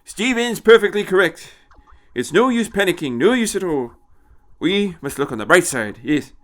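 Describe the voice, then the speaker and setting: A man voicing a fussy, scornful old time traveller, excitable and chiding, with hesitant little interjections, in an audio story. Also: high voice, normal speed, pitched above the performer's own voice. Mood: brightly reassuring.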